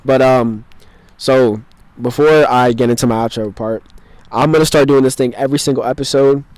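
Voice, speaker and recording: A man hosting a podcast, easygoing and teasing, laughing between lines and letting sentences trail off. He talks at a middle pitch. The recording is close and dry. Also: steady tone, moderate pace, a little mellow, dry recording. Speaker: podcast host. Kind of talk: casual chat.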